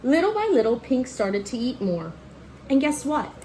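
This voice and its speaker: A woman's voice: sits high, sing-song, moderate pace, mellow, slight background noise. A woman reading a children's picture book aloud like a teacher, expressively and in a child-directed way, with asides to point things out and ask questions. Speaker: read-aloud storyteller and teacher.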